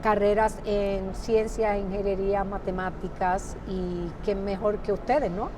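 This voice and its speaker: A woman's voice: mid-range voice, steady tone, measured pace. A middle-aged woman from Puerto Rico hosting an interview, friendly and questioning, dropping English fillers into her speech. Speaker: interview host. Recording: noisy recording.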